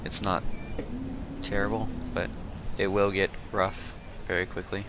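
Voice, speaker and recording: A man's voice: on the low side, little pitch movement, a little slow, background noise. A congested, sniffly man giving casual gameplay commentary as host, thinking aloud with hesitant restarts. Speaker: let's play host.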